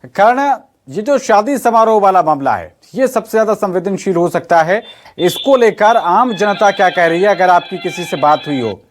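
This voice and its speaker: A man's voice: sits high, lively intonation, moderate pace. A man anchoring the news, putting questions to live reporters.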